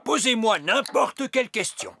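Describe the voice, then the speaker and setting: A man's voice: very high voice, big pitch swings, normal speed, creaky, pushed and bright, a little hiss or hum, full character voice. A man voicing a fussy, bluffing old wise elf in a cartoon dub, hesitating and explaining.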